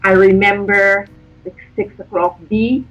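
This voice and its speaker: A woman's voice: medium pitch, level tone, a little slow, a bit bright, slightly rough, soft background music, low-quality recording. An older woman from Guyana, reminiscing as an interview guest in a wistful, trailing way.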